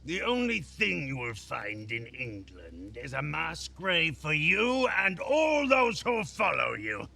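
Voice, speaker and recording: An actor playing a sneering, stubborn Viking jarl in a video game, with a gravelly voice. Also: male voice, on the high side, wildly swooping pitch, slow pace, hardly any pauses, pushed and bright, full character voice. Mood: scornful. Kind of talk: cinematic video game acting.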